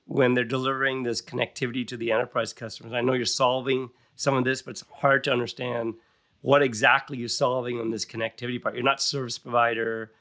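A man's voice: medium pitch, level tone, fast talker, fairly bright tone. A man hosting a podcast interview, upbeat and welcoming toward his guest. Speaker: podcast host.

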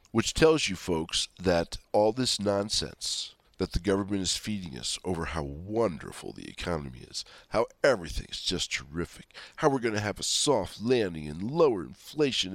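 Stuffy-nosed folksy radio host. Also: male voice, low voice, no echo. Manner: folksy, chatty, rambling asides. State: stuffy from a cold. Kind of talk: conservative talk radio monologue.

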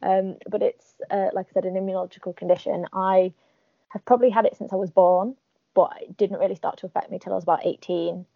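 A woman's voice: mid-range voice, fairly even intonation, fast talker, vocal fry, no room sound, highs cut off. A woman from Northern England with a Northern English accent, chatting casually and wryly as a podcast guest. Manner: chatty, wry, laughs at herself. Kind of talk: casual interview chat.

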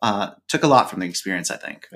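A man speaking as a podcast guest, explaining things in a casual, measured way. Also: low-pitched, expressive, fairly bright tone. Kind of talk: casual interview chat.

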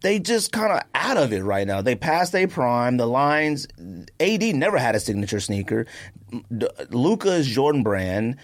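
A man's voice: medium pitch, sing-song, moderate pace. A man co-hosting a podcast, chatting casually about sneakers and sports in a slangy, opinionated way. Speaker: podcast co-host.

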